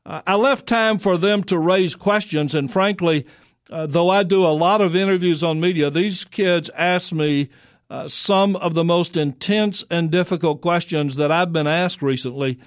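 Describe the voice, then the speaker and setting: A man hosting a program, talking in a measured, thoughtful way with some hesitant fillers. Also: sits high, no echo. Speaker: host.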